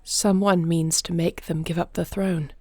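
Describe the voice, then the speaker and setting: A woman's voice: lowish voice, fairly even intonation, unhurried, dark tone, no echo. A woman playing a regal, anguished but resolute queen with formal, commanding diction in a fantasy audio roleplay. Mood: commanding, vengeful.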